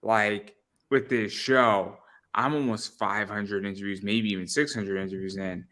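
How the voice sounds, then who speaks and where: A man around thirty with a lowish, bright and forceful voice, hosting a casual interview. He often repeats words and stumbles into his sentences as he thinks out loud. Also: steady tone, a little slow. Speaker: interview show host. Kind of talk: casual chat.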